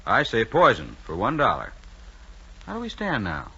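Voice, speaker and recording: An actor in an old-time radio drama playing a chatty, jaunty man of science with a brisk, casual manner. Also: on the low side, wildly swooping pitch, pushed and bright, breathy edge, full character voice. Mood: jaunty, absorbed. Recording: dull, noisy vintage radio recording.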